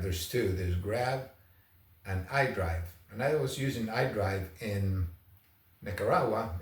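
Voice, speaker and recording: A man's voice: lowish voice, vocal fry. A man from Argentina talking casually as a travel vlogger, in a rambling, conversational, anecdotal way. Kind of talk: casual first-impressions vlog talk. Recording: roomy echo, distant microphone.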